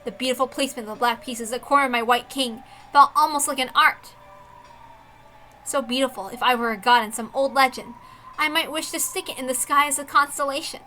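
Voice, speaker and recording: A woman voicing a proud, intense young female detective with theatrical, deliberate delivery in a visual novel reading. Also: sits high, sharp tone, faint music behind, low-quality recording. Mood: moved, reverent.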